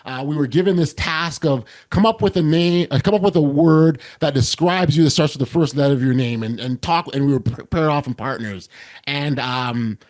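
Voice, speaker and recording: Earnest loud-voiced male podcast host. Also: fairly high pitch, moderate pace. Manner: earnest, many restarts and repeated words. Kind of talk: casual interview chat.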